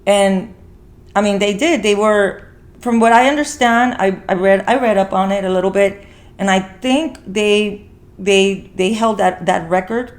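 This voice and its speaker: A woman's voice: medium pitch, measured pace. A woman hosting a casual movie review talk, speaking earnestly and repeating phrases for emphasis. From Mexican heritage. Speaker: talk show host.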